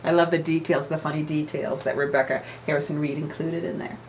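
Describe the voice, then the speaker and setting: A middle-aged woman reading a picture book aloud to children theatrically, with drawn-out emphasis, in a small home room. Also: low-pitched, fairly even intonation. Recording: clear background room noise.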